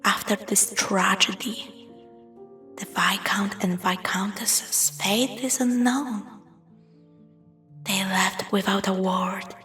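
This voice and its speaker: A woman narrating a scripted spooky story in a hushed, breathy, dramatic storytelling manner. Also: mid-range voice, fairly even intonation, slow pace, pauses often. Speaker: storyteller, voice performer. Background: music.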